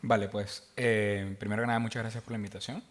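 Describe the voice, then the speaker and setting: Venezuelan man, low voice, halting. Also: level tone. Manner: halting, with many fillers and restarts. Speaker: panel guest, tech executive. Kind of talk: conversational panel answer.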